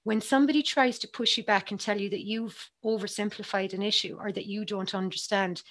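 A woman's voice: medium pitch, fairly even intonation, brisk, fairly bright tone. A woman, a psychologist speaking earnestly on a panel over a video call, with many ums and restarts. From Ireland. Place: small room with bookshelves, indoors. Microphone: headset on a video call.

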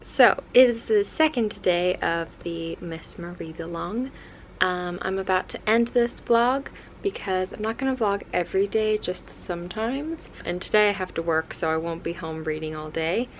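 A woman's voice: fairly low pitch, normal speed, low-quality recording. A young American woman chatting casually and informally to camera in a reflective way as a book vlogger. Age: young adult. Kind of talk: casual vlog chat. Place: bedroom at home, indoors. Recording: clear ambient room noise.

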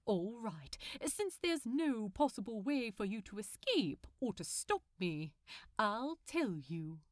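A woman's voice: on the high side, very animated, slow pace, no room sound, studio quality. A woman voicing a theatrical male supervillain in an audiobook story, smug yet tender, with a coaxing, dramatic manner.

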